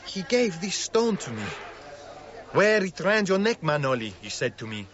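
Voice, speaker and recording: A man's voice: high voice, very animated, normal speed, fairly bright tone, dull audio. An actor playing an eager, proud Cretan workman in a radio drama, speaking simple, careful English with a Greek accent. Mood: proud, reminiscing.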